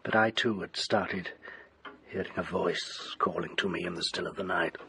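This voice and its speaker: An actor playing a haunted, desperate gentleman doctor in a radio drama, with a British accent and an ornate, grimly theatrical delivery. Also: sits low, steady tone, moderate pace, vocal fry, background noise. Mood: anguished confession. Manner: ornate, theatrical, dwells on grisly detail.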